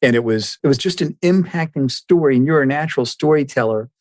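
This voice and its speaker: A man's voice: medium pitch, brisk, darkish tone, no room sound, clean studio sound. A man hosting an interview podcast, speaking in an enthusiastic, effusive manner as he introduces and questions. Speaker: podcast host.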